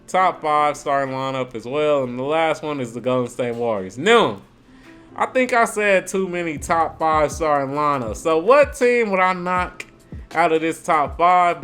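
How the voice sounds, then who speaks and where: A young man with a sore throat talks through his picks in a loose, slangy way, thinking out loud as he goes. His voice sits a touch high. Faint music plays underneath. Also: smooth. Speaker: sports video host. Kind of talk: casual sports ranking commentary.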